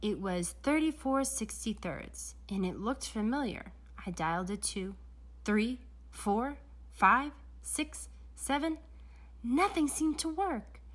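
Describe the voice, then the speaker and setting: A woman's voice: on the high side, lively intonation, slow pace. A woman narrating a children's story aloud, with dramatic storytelling emphasis. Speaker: storybook reader.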